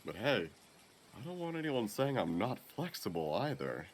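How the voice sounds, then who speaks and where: A man's voice: lowish voice, very animated, normal speed, slight background noise. A man voicing a shady, folksy merchant in a game, flirty and cagey with salesman patter. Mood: cagey, obliging.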